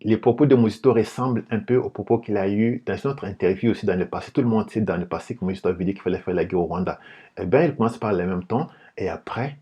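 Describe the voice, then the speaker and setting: A man with a Congolese French accent giving informal political commentary in a halting, repetitive, explanatory way. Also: lowish voice, warm tone, breathy edge. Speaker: political commentator and video host.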